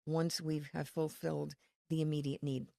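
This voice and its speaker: Woman, low pitch, gravelly edge, earnest and measured, podcast guest, dry sound. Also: level tone, warm tone, dry recording. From Connecticut, USA. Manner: earnest, faith-filled, measured explaining. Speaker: ministry leader, guest. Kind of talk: podcast interview.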